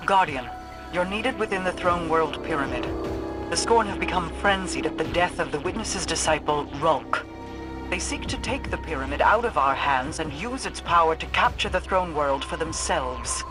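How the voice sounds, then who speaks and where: An actor playing a formal, stern commander who gives orders in measured speech. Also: male voice, fairly high pitch, lively intonation, over music, close to an everyday voice. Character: commanding, formal male ally giving orders.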